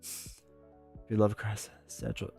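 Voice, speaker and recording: A man's voice: fairly low pitch, completely flat delivery, slow pace, creaky, darkish tone, breathy edge, faint music behind. A man with a stuffed-up cold, chatting in a rambling, casual way while he streams a solo board game live from home. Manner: rambling, chatty, full of asides and fillers.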